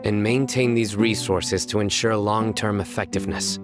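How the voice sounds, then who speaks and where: A man playing a reserved, dutiful official in a video game, terse, formal and deadpan. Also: lowish voice, normal speed, music in the background, close to an everyday voice. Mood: businesslike. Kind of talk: video game dialogue acting.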